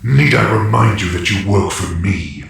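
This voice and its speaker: A man's voice: fairly low pitch, normal speed, vocal fry, a bit bright, recorded from a distance. A man playing an imperious, vengeful evil warlord in an audio drama, giving menacing commands. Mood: menacing, commanding. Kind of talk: audio drama villain acting. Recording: echoing, noisy, off the mic.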